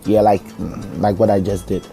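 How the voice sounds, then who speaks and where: A man's voice: lowish voice, level tone, moderate pace, mellow, soft background music. A young man hosting his podcast, speaking while he has a cold, chatty and rambling with fillers. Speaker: podcast host. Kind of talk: casual podcast monologue.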